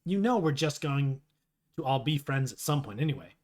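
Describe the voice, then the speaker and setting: Man playing a teasing, animated teenage boy. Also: medium pitch, moderate pace, close to an everyday voice. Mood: casual, teasing. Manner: casual, rambling, sarcastic.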